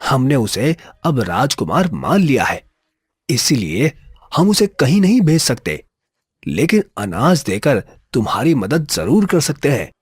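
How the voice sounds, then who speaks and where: A man voicing a stately, benevolent king in a cartoon moral story, measured, dignified and reassuring, with a husky voice. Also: medium pitch, very animated, no room sound, soft background music. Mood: calm, gracious.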